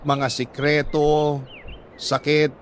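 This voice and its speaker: Higher-pitched Filipino man narrating a heartfelt letter. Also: monotone, drawn-out words, slight background noise. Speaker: radio storyteller reading listener letters. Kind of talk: dramatic first-person letter narration.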